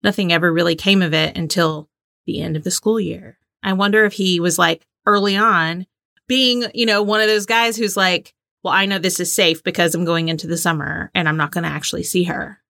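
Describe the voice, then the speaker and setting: A woman in her forties with a very bright voice, recorded close and dry. She talks like a casual podcast co-host, informal and giggly, moving between chat and reading aloud. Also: lowish voice, steady tone, a little fast, vocal fry, dry recording. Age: 40s.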